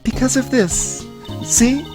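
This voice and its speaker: A woman's voice: high-pitched, takes it slowly, over music. A woman voicing a perky, cheeky teenage girl sidekick in a game, bubbly and emphatic. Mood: excited, eager.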